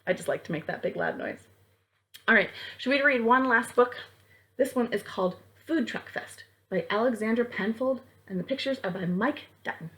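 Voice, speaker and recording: A woman's voice: a little high, expressive, normal speed, fairly bright tone, rough recording. A woman leading a children's storytime as a librarian, reading aloud and speaking directly to young listeners with prompts and questions.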